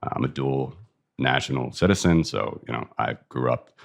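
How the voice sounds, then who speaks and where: A man's voice: rumbling low, moderate pace, creaky. A man with an American accent from the Midwest, a guest talking frankly about his strong opinions. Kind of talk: casual interview talk.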